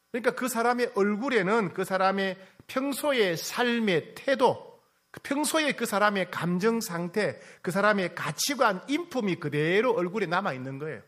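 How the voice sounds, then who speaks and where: Korean pastor guy preaching, kinda high voice, bounces all over, keeps asking the crowd stuff and repeating himself. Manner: asks the congregation questions and teases them; repeats key phrases, swings from loud to soft. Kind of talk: sermon.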